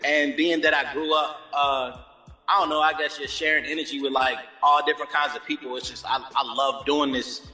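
A man's voice: on the high side, flat delivery, fairly quick, pushed and bright. An American man chatting casually as an interview guest, upbeat and slangy, often adding "you know what I'm saying.". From born in Germany, moved around the United States. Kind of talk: casual chat. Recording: echoey roomy sound with long reverb, music behind.

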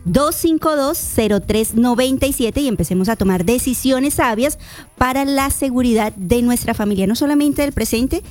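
A woman co-hosting a morning radio show, reading phone numbers slowly and clearly. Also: fairly high pitch, darkish tone. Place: radio studio.

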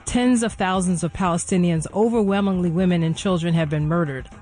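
High-voiced male radio announcer over music. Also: fairly quick, a little mellow. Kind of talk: scripted news announcement.

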